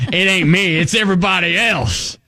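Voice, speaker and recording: A man from Ohio co-hosting a radio show, telling a story in a casual on-air chat with a slightly nasal voice and lots of quick restarts. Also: high voice, pushed and bright, no echo.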